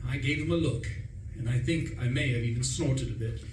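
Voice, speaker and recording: A man's voice: medium pitch, a little fast. A man giving a theatrical, wry and wordy first-person narration in a comic radio play. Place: live performance in a roomy space. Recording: roomy, distant, noisy live stage recording.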